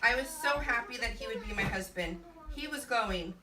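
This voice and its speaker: A woman's voice: on the high side, expressive, fairly quick. An American woman reading a scripted story aloud to relatives as a puppet show narrator. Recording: echoey, noisy room recorded from far off.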